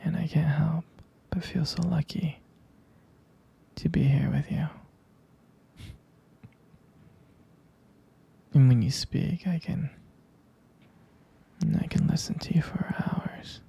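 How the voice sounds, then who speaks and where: Male actor as soothing, devoted lover for intimate ASMR: breathy whisper, heavy vocal fry, gentle halting delivery. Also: on the high side, steady tone, exaggerated voice. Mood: tender, admiring. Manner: slow, halting, gentle murmuring with lingering pauses. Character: adoring, tender male romantic partner, soothing and devoted.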